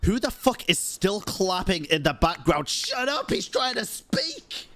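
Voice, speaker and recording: A man's voice: high voice, huge pitch swings, measured pace, fairly bright tone. A man giving live, sweary reaction commentary as a game streamer, given to exasperated outbursts. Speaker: gaming streamer.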